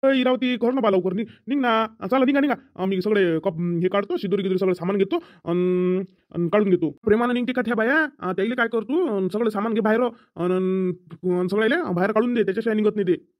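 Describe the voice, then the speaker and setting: A man's voice: sits high, brisk, lightly acted. A man voicing a harried, nagging village family man in a comedy cartoon, with a colloquial Varhadi accent from Vidarbha. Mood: impatient. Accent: Varhadi Marathi from Vidarbha.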